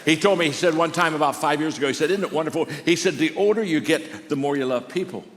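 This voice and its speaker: An older man preaching a sermon, telling stories in a warm, conversational way and often restarting his sentences. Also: on the high side, fast. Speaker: pastor. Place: large church auditorium. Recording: long reverb of a large hall.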